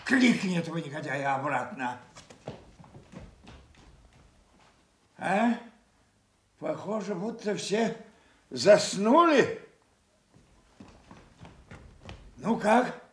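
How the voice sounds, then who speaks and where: Radio drama casting: old king, high and wildly animated, commanding, quick to anger. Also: echoey room, heavily acted. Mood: imperious, impatient. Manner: commanding, abrupt, demanding. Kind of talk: theatrical radio stage drama.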